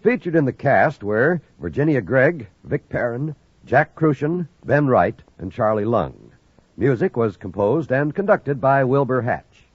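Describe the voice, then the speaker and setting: Husky American radio announcer, lively delivery. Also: male voice, mid-range voice, measured pace, dry recording. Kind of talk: 1950s scripted network radio announcing. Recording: dull dry vintage radio recording, music.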